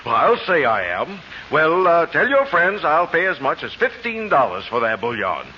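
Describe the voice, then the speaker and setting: An actor playing a self-important banker with florid, affected speech, in 1940s radio comedy. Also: male voice, a little high, big pitch swings, moderate pace, a little hiss or hum. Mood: pompous. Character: pompous genteel male banker, self-important. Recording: lo-fi old radio broadcast.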